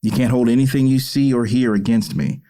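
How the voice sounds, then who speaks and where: Mellow, earnest middle-aged dad played by a man. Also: medium pitch, steady tone, a little fast, lightly acted. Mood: gentle, firm. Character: caring, dutiful middle-aged father, gently wry. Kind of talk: audio drama acting, conversational.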